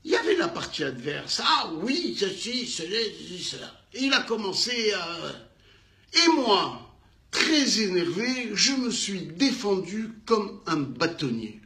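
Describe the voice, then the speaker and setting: A man with a North African French accent telling a business anecdote in an animated way, acting out the dialogue with emphatic repetitions. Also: high voice, a little slow, reverberant. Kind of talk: animated storytelling.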